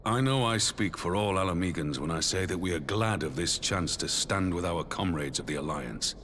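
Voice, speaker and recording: Deep-voiced, solemn veteran commander. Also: male voice, fairly bright tone. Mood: formal gratitude. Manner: formal, solemn, measured. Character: grave one-armed veteran commander of a liberated homeland. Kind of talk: video game cutscene acting. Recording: long hall reverb, faint background noise.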